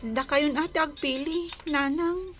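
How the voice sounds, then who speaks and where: A woman's voice: sits high, steady tone, slow, music in the background. A woman with a Filipino Ilocano accent playing a sulky young daughter resisting an arranged marriage in a radio drama. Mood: reluctant. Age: young adult. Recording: clear background noise.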